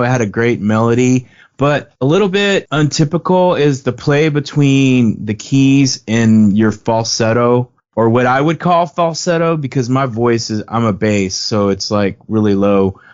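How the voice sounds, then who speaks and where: A man's voice: mid-range voice, smooth. A man co-hosting a podcast, asking long, thoughtful, winding questions about songwriting. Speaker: podcast co-host. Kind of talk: interview questions. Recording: dull podcast audio.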